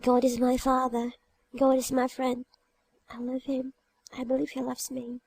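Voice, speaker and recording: Dying schoolgirl played by a woman, audiobook drama: high pitch, husky whispery softness, tender, calm, pious. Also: measured pace, no echo, full character voice. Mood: devout, calm. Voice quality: soft, weak, breathy. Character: dying young girl, serene, pious, gentle.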